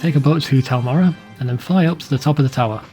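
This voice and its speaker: A man's voice: medium pitch, fast talker, dark tone, soft background music. A man reading a scripted video game walkthrough in a plain, informal, instructional way. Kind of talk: scripted guide narration.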